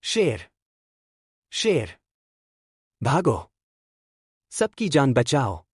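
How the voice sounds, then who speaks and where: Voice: medium pitch, big pitch swings, extremely slow, husky, dry recording, exaggerated voice. An actor voicing a mischievous village boy in a cartoon, who teases the villagers and later cries for help. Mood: fake alarm. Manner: teasing laughter, then panicked shouting. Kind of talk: cartoon voice acting.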